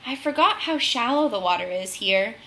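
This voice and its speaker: A woman's voice: fairly high pitch, animated, moderate pace, pushed and bright, slight background noise, rough recording. A woman voicing a fussy, stubborn old male turtle in a children's storybook. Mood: fretful.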